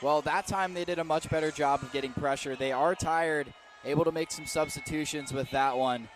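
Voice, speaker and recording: A man's voice: a little high, fairly even intonation, brisk, over music. A man giving live football analysis on radio, conversational and free with his opinions. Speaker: color commentator. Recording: faint stadium noise behind.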